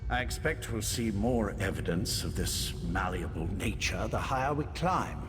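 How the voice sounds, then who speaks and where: Low-voiced grave mage, played by a male actor. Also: lively intonation, close to an everyday voice. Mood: observant. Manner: measured, formal phrasing. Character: composed, grave male magic-wielding companion. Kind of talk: video game voice acting. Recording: long reverb, loud music behind.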